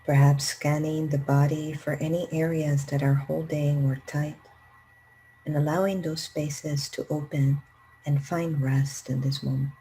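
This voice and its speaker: Woman, meditation guide, low even voice, calm slow instructions, long pauses, noisy ambient recording.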